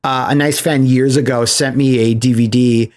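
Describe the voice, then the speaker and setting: A man hosting a podcast in casual chat, recapping things as an animated storyteller who slips into acted-out quotes. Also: mid-range voice, moderate pace. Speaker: podcast host.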